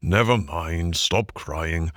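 A man voicing a stern older male badger in a storybook, gruffly kind, with a dry, weighty, commanding manner. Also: fairly low pitch, a little slow, a bit bright, no echo. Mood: kindly firm. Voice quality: thin, dry. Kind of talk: storybook character voice.

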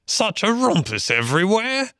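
A man's voice: sits high, very animated, moderate pace, bright, forceful tone, dry recording, studio quality, voice pushed up, full character voice. A man voicing a blunt, sociable, gossipy otter in an animal storybook, with a breezy, chattering manner. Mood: breezy, chatty.

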